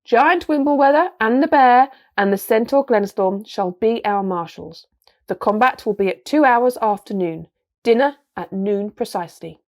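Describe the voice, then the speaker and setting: A woman playing a young male High King, fair-minded, gracious and measured, in a storybook reading. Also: lively intonation. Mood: commanding.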